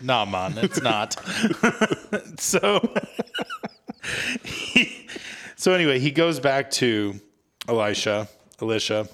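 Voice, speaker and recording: A man's voice: mid-range voice, expressive, very slow, pauses often, a bit bright. A man co-hosting a podcast in a casual, jokey, self-mocking and informal way. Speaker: podcast co-host. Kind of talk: casual scripture recap chat.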